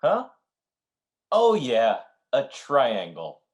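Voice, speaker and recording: A man's voice: mid-range voice, huge pitch swings, extremely slow, heavily acted. A man playing a dry, cynical, teasing husband in an old-time radio comedy, with a wry, grumbling delivery. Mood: distracted.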